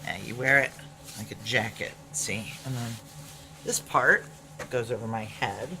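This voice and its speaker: An actress playing a gruff, weary ex-military female security chief in an audio drama, speaking in a clipped, dry, commanding way. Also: extremely low pitch, measured pace, sharp tone, a little hiss or hum, heavily acted, rough recording. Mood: matter-of-fact. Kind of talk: naturalistic audio drama acting with noir narration.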